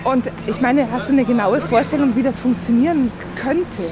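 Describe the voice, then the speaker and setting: A woman's voice: high-pitched, normal speed. A woman chatting unscripted with passers-by as a street interviewer, out on a busy city street at night. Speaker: street interviewer playing a wish-granting fairy. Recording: noisy street location recording with crowd. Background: traffic and crowd.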